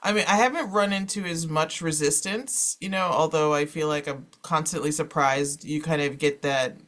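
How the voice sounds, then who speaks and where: A man's voice: fairly high pitch, moderate pace, a bit bright. A man moderating an informal panel presentation, talking in a discursive way peppered with "you know".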